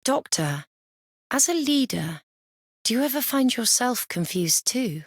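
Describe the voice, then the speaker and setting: A woman's voice: on the high side, sing-song, unhurried, dry recording, clean studio sound, full character voice. A woman voicing a dutiful young leader in a video game, thoughtful and formal. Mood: pensive. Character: dutiful young female leader weighed by responsibility. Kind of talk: video game character voice lines.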